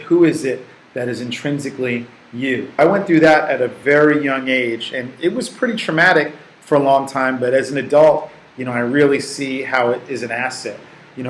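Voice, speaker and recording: A man in his forties, from the United States, answering interview questions in a relaxed, reflective way, with fillers and restarts. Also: medium pitch, reverberant, a little hiss or hum, low-quality recording. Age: 40s. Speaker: English teaching assistant.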